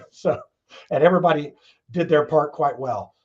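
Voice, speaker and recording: A man's voice: fairly high pitch, normal speed, husky, muffled recording. A man hosting a casual talk show, rambling along in a folksy way with frequent chuckles.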